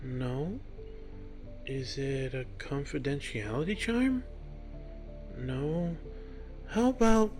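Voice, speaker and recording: A man's voice: medium pitch, slow, pauses often, darkish tone, faint music behind, pitched above the performer's own voice, lightly acted. A man voicing a friendly, curious young bookish woman in a story reading, given to puzzled questions. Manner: thinks aloud in puzzled questions.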